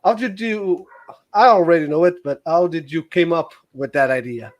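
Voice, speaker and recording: Higher-voiced man hosting casually. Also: moderate pace. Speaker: host, interviewer. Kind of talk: casual livestream interview questions.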